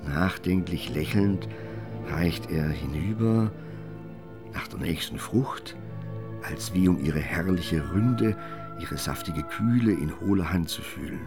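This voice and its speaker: A man narrating a literary radio play in a measured, storytelling way. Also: low-pitched.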